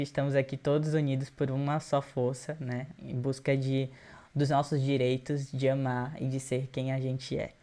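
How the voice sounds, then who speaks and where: Brazilian guy hosting a live, chatty n casual, middle pitch voice. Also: a little mellow. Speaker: host. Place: video call live stream.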